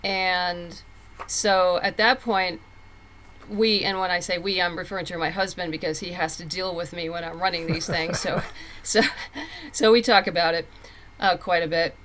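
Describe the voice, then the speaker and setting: A woman's voice: fairly low pitch, steady tone, moderate pace, a bit bright, slight background noise, rough recording. A middle-aged woman talking casually as an interview guest, chatty and candid with emphatic phrasing. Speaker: podcast guest, comic book writer.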